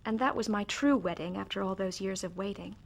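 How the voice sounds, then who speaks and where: A woman's voice: mid-range voice, brisk, gravelly. A woman playing an earnest, contrite young bride in a period radio drama, speaking with heartfelt frankness. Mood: confiding.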